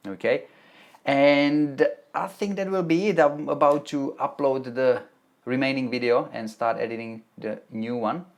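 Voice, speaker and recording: A man's voice: medium pitch, steady tone, unhurried. A man from the Czech Republic with a Czech accent, hosting a live stream and chatting through viewer questions about web development tutorials, often restarting his sentences. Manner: chatty, reads chat aloud, frequent restarts. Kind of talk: live stream Q&A, answering chat. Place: home office, Melbourne.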